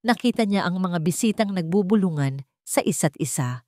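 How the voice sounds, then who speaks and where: A woman's voice: lowish voice, lively intonation, moderate pace, a little mellow, dry recording, clean studio sound. A woman narrating a scripted story in a solemn, suspenseful, dramatic way.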